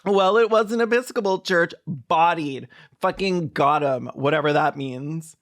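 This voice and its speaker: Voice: medium pitch, measured pace. A sarcastic, foul-mouthed video host giving mocking comedic commentary on a film.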